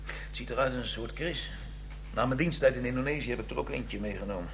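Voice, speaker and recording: A sensitive police inspector, played by a man, with a medium-pitched voice and a reflective, measured manner. The radio crime drama has a faint hum behind him. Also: lightly acted. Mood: musing.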